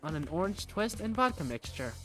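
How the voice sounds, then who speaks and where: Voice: mid-range voice, sing-song, fairly quick, bright, forceful tone. A performer voicing an earnest, courteous young male student working as a waiter in a game fandub. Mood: polite service. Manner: eager, courteous. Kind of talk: visual novel fandub acting. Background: background music.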